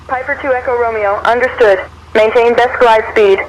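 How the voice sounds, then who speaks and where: A woman's voice: fairly high pitch, fairly even intonation, moderate pace, rough recording. A synthetic woman's voice playing an unruffled air traffic controller, giving formal instructions over a radio. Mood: composed, formal.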